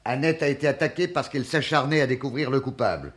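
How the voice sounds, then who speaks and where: A man's voice: medium pitch, fast, fairly bright tone, lightly acted. A man playing a persuasive, insistent sleuth in a French radio mystery, reasoning emphatically. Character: insistent, persuasive amateur sleuth pressing the police.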